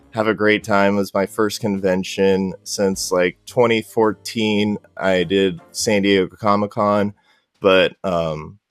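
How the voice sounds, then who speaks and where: A man's voice: deep voice, clear, resonant tone, dry recording. A man speaking as a podcast guest in casual interview chat, with a loose, rambling delivery.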